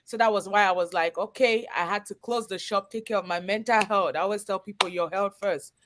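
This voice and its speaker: A woman's voice: mid-range voice, brisk, background noise. Interview guest: woman, Cameroonian accent, earnest conversational storyteller, mid-range voice. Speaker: guest, chef and business owner. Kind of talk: personal storytelling in a live interview.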